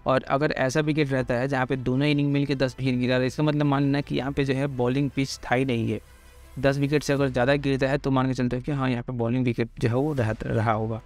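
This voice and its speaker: A man presenting a cricket pitch report, reading off wickets and runs from past matches in loose, informal speech padded with fillers. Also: a little mellow. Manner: rattles off figures with constant fillers. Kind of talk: fast informal cricket stats rundown.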